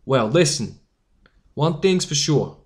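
Guy acting as a bossy streetwise young newsie leader, highish voice, slangy, story drama. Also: lively intonation, takes it slowly, darkish tone. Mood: defiant. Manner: slangy, commanding. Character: defiant streetwise young male newsboy leader. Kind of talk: audio drama dialogue.

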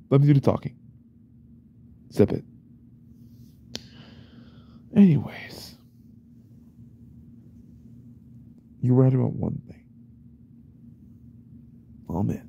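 A man playing a jealous, unhinged yandere boyfriend in an ASMR roleplay, speaking softly and often in whispers, intense and controlling. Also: medium pitch, wildly swooping pitch, measured pace, pauses often, highs cut off, heavily acted. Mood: agitated, controlling. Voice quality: soft, breathy, often whispered.

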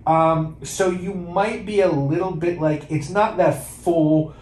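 A man's voice: on the high side, fairly even intonation, slow pace, darkish tone, reverberant, poor audio. A man casually reviewing fragrances, chatty and hesitant, with many fillers and restarts.

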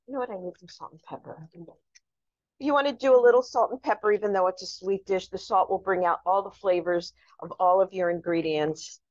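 A woman with a slightly low voice talks viewers through cooking in a chatty, instructive way. The recording is a little dull and lo-fi, with room echo around her. Her style is that of a casual live demonstration. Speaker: cooking show host. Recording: echoey room, dull lo-fi sound.

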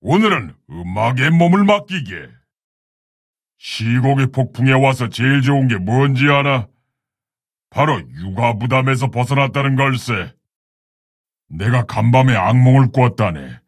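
Proud solemn orc warchief game voice, super gravelly, slow n formal, dry close sound. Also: male voice, mid-range voice, animated, no echo. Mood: wry, relaxed. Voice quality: gravelly, husky. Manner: commanding, stately, old-fashioned formal speech. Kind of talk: video game hero voice lines.

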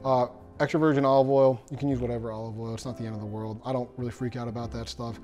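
Young male host, casual and self-deprecating, mid-pitch, kitchen demo. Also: little pitch movement, rapid-fire, darkish tone, faint music behind. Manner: chatty, self-deprecating, addresses viewers as guys. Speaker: cooking show host. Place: home kitchen.

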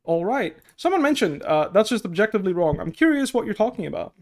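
A man's voice: high voice, very animated, fast talker, a little mellow. A young man whose first language is Dutch, coaching on a casual stream from a headset at his desk, explaining step by step with many okays. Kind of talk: casual stream talk, answering chat. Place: small room indoors at a desk.

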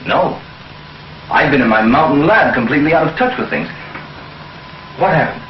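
An actor playing a determined space scientist, formal, emphatic and demanding, in 1950s science fiction television style. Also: male voice, fairly high pitch, animated, normal speed, lightly acted. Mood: concerned. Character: insistent male space scientist, urgent and determined. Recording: roomy, dull, noisy 1950s TV soundtrack.

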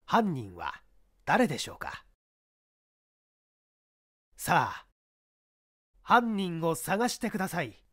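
A man narrating a literary mystery audiobook in a deliberate, theatrical way. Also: a little high, wildly swooping pitch, a bit bright.

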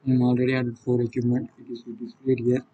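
A man with a South Indian accent and a husky voice, giving an unscripted software walkthrough in halting, non-fluent phrases. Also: medium pitch, completely flat delivery, dull audio. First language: Tamil. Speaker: software demonstrator. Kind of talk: unscripted screen-recorded tutorial walkthrough.

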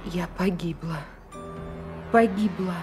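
A woman's voice: on the low side, takes it slowly. An actress playing a proud, anguished queen of France in a radio drama, with an emotional, regal manner. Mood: despairing. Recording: loud music behind.

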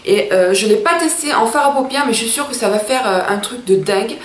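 A woman's voice: fairly high pitch, lively intonation, fairly quick, roomy sound. A woman in her thirties chatting enthusiastically to camera about makeup in a room at home, talking to her viewers as girls. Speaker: beauty vlogger. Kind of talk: casual makeup review to camera. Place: small room at home, indoors.

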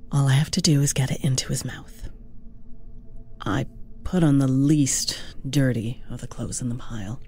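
A narrator reading a scripted first-person fantasy story in a hushed, dramatic, deliberate manner. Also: medium pitch, husky. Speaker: audiobook narrator.

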